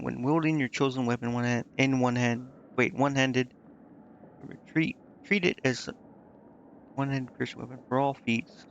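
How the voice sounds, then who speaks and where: A man's voice: medium pitch, a bit bright, highs cut off, slight background noise. A man streaming a video game, thinking aloud in casual commentary and muttering indecisively to himself.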